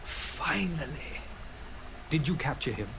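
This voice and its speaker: Animated, courtly dignitary. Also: male voice, a little high, takes it slowly, creaky, background noise, heavily acted. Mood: impatient, stern. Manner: formal, courteous. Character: courtly male dignitary, stern yet gracious. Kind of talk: video game dialogue.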